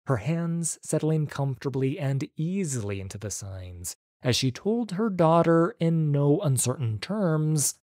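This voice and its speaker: Guy narrating an audiobook, slow and expressive, warm dark tone, dry close sound. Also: on the high side, no room sound. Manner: measured and expressive.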